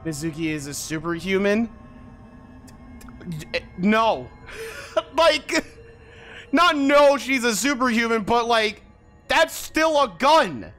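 A streamer giving casual, expressive livestream commentary while playing a game. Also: mid-range voice, slow, pauses often. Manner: animated, expressive. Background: game music.

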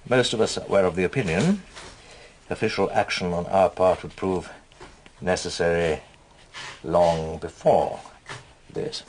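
An actor playing a stiff senior government official in a radio drama, dictating formally with hesitant, measured phrasing. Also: male voice, deep voice, a little hiss or hum.

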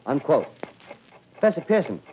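An American actor playing an earnest, formal radio news commentator in a 1930s radio drama. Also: male voice, on the high side, sing-song. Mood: formal, urgent. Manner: formal, measured phrasing with dramatic pauses. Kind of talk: 1930s radio drama acting, live broadcast announcing. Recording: dull, noisy 1930s radio recording.

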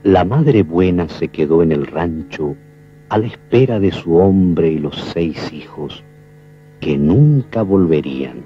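An actor playing a solemn storyteller with an Argentine accent, narrating a folk legend for a film in poetic, measured phrases. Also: male voice, low voice, expressive. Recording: muffled 1970s film soundtrack, faint music.

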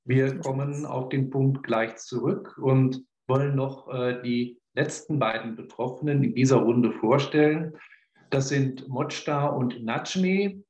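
An older man moderating an online press conference, brisk and pausing on fillers over a webcam. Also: mid-range voice, a little mellow. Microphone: webcam on a video call. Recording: roomy, dull video call audio.

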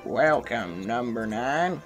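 Male narrator as oldest engine, calm, welcoming, lowered pitch, measured, helpful, storybook. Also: faint music behind.

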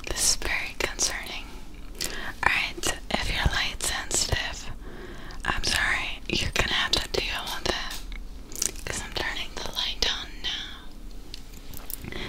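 A woman's voice: lightly acted, low-quality recording. A young woman playing a female optometrist in an ASMR roleplay, whispering breathily and repeating her instructions, close to the microphone. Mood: concerned, firm. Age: young adult. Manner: slow, repetitive, instructing. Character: soft-spoken female optometrist examining a patient, faintly ominous. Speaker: ASMR creator playing an eye doctor. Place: small dim room, close up. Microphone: close studio ASMR microphones.

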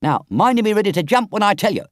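Man doing a firm, soft-hearted storybook train driver; higher than his own voice, lively, dry sound. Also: fast talker, no echo. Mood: urgent. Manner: earnest, urgent. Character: gruff but kind-hearted male engine driver.

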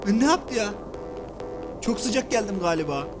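Animated high-pitched man over loud music. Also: moderate pace. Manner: animated, repeats short phrases. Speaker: host of toy-play videos for children. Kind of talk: excited casual play-along commentary.

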